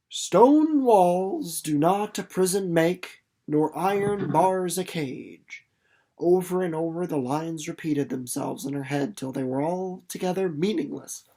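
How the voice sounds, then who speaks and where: A narrator reading a novel aloud as scripted literary narration, in a dramatic storytelling manner that slows and softens for suspense. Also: mid-range voice.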